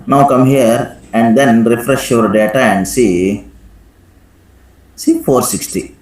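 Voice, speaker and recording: Male software trainer in an echoey room. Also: mid-range voice, slow. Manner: instructive, frequently checks with "right?". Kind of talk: live screen-share software tutorial with questions to students.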